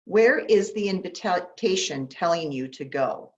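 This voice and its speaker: A woman pastor leading a guided meditation in an unhurried, gentle manner with long pauses, speaking in short instructions. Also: on the low side, lively intonation. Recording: dull, lo-fi sound.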